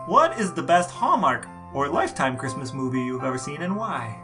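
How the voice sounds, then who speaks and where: A man's voice: a little high, sing-song, moderate pace, music in the background. A man co-hosting a podcast, putting questions in a casual interview style.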